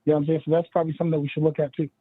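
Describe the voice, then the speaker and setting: A man's voice: fairly high pitch, flat delivery, racing, no echo. A man giving casual sports opinions as a guest, chatty and laughing often, asking "you know what I'm saying". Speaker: guest analyst. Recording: tinny phone-line call.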